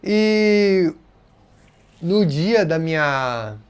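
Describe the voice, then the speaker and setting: A man's voice: fairly high pitch, very slow, clean tone. Casual man with a carioca accent. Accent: Rio de Janeiro Portuguese. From Rio de Janeiro, Brazil. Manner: casual and expressive, voices others' words in quotes. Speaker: channel host. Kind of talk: personal storytelling to camera.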